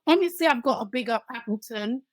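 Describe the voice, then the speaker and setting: A woman's voice: fairly high pitch, animated, fast, crowd noise. A woman with a British accent, raised in London, chatting energetically as a podcast guest and laughing easily. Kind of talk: casual interview chat.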